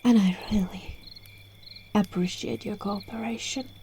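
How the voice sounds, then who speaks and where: Woman playing a slow, seductive, controlling witch. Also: steady tone, dark tone, a little hiss or hum, lightly acted. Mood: seductive, coaxing. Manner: slow, coaxing, breaking into whispers and giggles. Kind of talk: ASMR roleplay. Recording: phone microphone recording, low quality.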